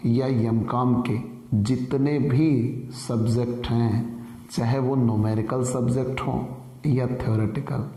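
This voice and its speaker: A man with a sore, hoarse throat, teaching an online commerce lecture in an earnest manner and calling viewers dear friends. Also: medium pitch, mellow, reverberant, long reverb tail, poor audio. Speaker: commerce tutor.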